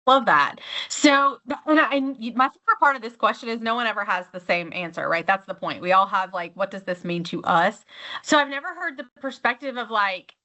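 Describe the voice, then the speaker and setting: A woman's voice: mid-range voice, fairly quick, bright, forceful tone. A woman hosting an interview podcast, chatty and enthusiastic, with many fillers and restarts. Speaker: podcast host. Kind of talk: casual interview chat.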